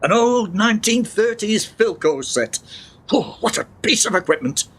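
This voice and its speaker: Guy acting a quirky old grandad inventor in a radio drama, slightly high voice, swoops about, teasing and a bit grand. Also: lively intonation, moderate pace, a bit bright, breathy edge, full character voice. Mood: wistful, lecturing. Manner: theatrical, teasing, portentous. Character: eccentric, wry old grandfather and tinkering inventor, grandly enthusiastic.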